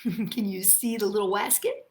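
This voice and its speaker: A woman hosting children's storytime, reading picture books aloud and chatting to young listeners in a playful, expressive, sing-song manner. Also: medium pitch, normal speed, echoey room.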